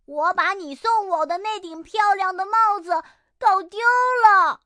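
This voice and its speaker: A woman's voice: very high voice, unhurried, pushed and bright, no echo, voice pushed up, heavily acted. A woman voicing an eager, sweet-natured little storybook bear cub with a small childlike voice, exclaiming earnestly. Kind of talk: storybook character voice.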